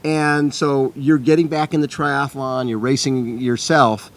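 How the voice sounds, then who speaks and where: A man's voice: mid-range voice, little pitch movement, a little slow. A man hosting an interview, upbeat, often interjecting with short replies. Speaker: interview host.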